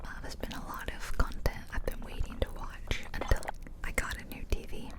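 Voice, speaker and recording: A woman whispering softly and breathily into a close microphone, chatting casually in a rambling ASMR style. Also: fairly quick. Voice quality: soft, breathy unvoiced whisper. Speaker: ASMR video creator. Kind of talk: ASMR whispered casual chat over gameplay. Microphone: close ear-to-ear microphone.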